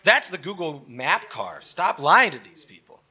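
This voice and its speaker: A man's voice: on the high side, big pitch swings, a little slow, bright, forceful tone, slightly rough. A man co-hosting a live comedy show, reading comments with dramatic, exaggerated delivery. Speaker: comedy show co-host and performer.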